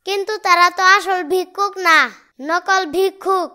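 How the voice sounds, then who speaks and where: Little cartoon boy, piercingly high, bright, cheeky, insistent, Bangladeshi accent. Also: little pitch movement, normal speed, heavily acted. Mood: matter-of-fact. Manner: bold, argumentative, insistent.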